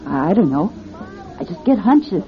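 Naive, wide-ranging, hesitant young psychic. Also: female voice, on the low side, very animated, a little slow. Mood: uneasy. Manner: hesitant, unsure, timid. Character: naive, anxious young woman fortune teller. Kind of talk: old radio drama acting. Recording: muffled, faintly noisy old radio recording.

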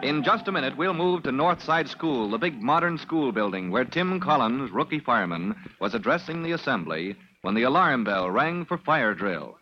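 Dramatic old-time radio announcer. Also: male voice, medium pitch, big pitch swings, normal speed. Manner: dramatic, declamatory. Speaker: announcer and narrator. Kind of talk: 1940s radio narration. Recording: dull old radio with music.

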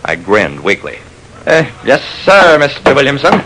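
Animated man narrating a classic radio mystery. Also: medium pitch, normal speed, slightly rough, poor audio. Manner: wry, dramatic first-person storytelling.

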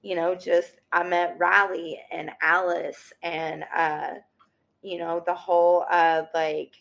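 A woman, chatting in a loose, slangy way and dropping "yo" and "like" everywhere. Her voice sits on the lower side for a woman. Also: slow, vocal fry, highs cut off. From Tennessee. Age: 30s. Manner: slangy, animated, peppered with "like" and "yo". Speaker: thrift and sewing guest. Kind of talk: casual podcast conversation.

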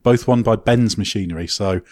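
A man's voice: lowish voice, normal speed, darkish tone, no echo. A man hosting a motorsport podcast, joking dryly and leaning on "uh" fillers as he wraps up. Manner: dry, joking, many "uh" fillers. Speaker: podcast host. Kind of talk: casual podcast chat and sign-off.

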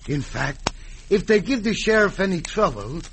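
A man's voice: sits high, sing-song, moderate pace, a bit bright, heavily acted. An actor playing a tough, trigger-happy outlaw henchman with rustic cowboy speech in an old-time radio western. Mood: eager, menacing. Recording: noisy vintage radio recording.

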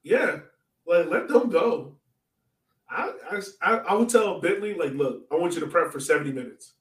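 A man's voice: a little high, lively intonation, moderate pace. A man co-hosting a sports podcast, chatting casually about soccer in an informal, joking way. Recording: roomy echo, distant from mic.

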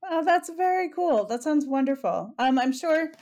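A woman hosting a book interview podcast, friendly and a little halting. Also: high voice, lively intonation, darkish tone. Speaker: podcast host.

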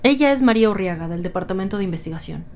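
An actress playing a commanding lead detective who questions with formal authority in an audio drama. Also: female voice, medium pitch, expressive, very fast, a little mellow, a little hiss or hum, close to an everyday voice. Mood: formal, probing. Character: commanding, probing woman lead detective and boss. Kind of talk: scripted audio drama dialogue.

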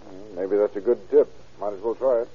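An actor playing a wry, sharp-eyed American lawman, the grumbling partner in an old-time radio crime drama. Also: fairly low pitch, a little fast, breathy edge. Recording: muffled, noisy vintage radio recording.

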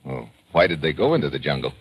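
An actor playing a tough, breezy investigator in a radio adventure, with an American accent and a casual, slangy way of talking. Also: male voice, rumbling low, sing-song, quick pace, slightly rough, full character voice. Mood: inquisitive, brisk. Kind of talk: old-time radio adventure drama acting. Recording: noisy vintage radio broadcast recording.

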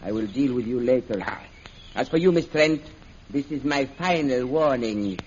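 Husky, menacing villain prince. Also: male voice, mid-range voice, animated, a little mellow. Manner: formal, deliberate, commanding. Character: menacing, imperious prince and villain, coldly threatening. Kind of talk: 1940s radio serial acting. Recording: dull, lo-fi old radio recording.

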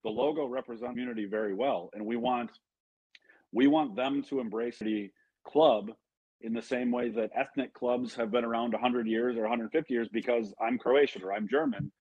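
A man from Illinois co-hosting an interview, talking in a thoughtful, halting way. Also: medium pitch, slightly rough, no room sound. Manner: thoughtful, halting, restarts often. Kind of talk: casual interview talk. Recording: dry dull lo-fi sound.

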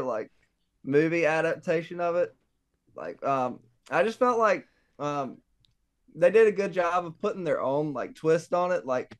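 Young male guest, higher pitch, smooth tone, halting with fillers. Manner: hesitant, many ums and likes. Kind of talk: casual chat.

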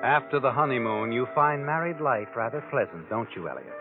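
An actor playing a sardonic, all-knowing narrator who taunts the guilty in an American 1940s radio crime drama. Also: male voice, mid-range voice, expressive, a little fast, close to an everyday voice. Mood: sly, knowing. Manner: knowing, taunting, speaks directly to the character. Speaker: host and narrator. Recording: tinny old radio broadcast, music behind.

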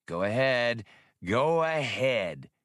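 Guy doing a cocky daring space smuggler for an audiobook, brash n taunting, really animated. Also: mid-range voice, slow pace, no room sound, studio quality. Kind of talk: dramatized audiobook character voice.

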